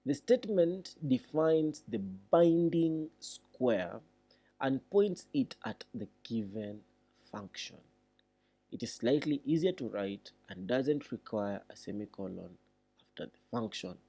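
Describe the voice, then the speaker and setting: A man giving a read-along programming tutorial, reading deliberately and thinking aloud as he explains. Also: medium pitch, animated, warm tone.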